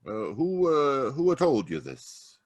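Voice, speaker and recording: An actor playing a halting, uneasy judge in old-time radio drama. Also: male voice, mid-range voice, very animated, no echo. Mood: uneasy, hesitant. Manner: halting, hesitant. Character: hesitant, uneasy Italian male judge with secrets. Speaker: actor in a radio mystery performance. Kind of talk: 1940s radio drama acting. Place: home, live video call performance.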